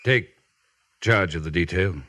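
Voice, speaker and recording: A man playing a brisk, dutiful cavalry captain in a 1950s radio western, with clipped military delivery. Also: sits low, fairly bright tone. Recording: 1950s radio broadcast recording.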